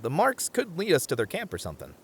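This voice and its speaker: A man's voice: a little high, huge pitch swings, quick pace, husky, heavily acted. A man playing a muscular, sensible but easily flustered bunny-man camper in a comedic audio roleplay, hesitant and wry as he reasons aloud. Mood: explaining.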